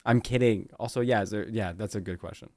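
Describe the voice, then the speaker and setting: A man's voice: on the low side, fast, a little mellow, dry recording. A man co-hosting a livestream, chatting casually and reading out messages with playful teasing and some swearing. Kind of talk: casual joking banter on a livestream.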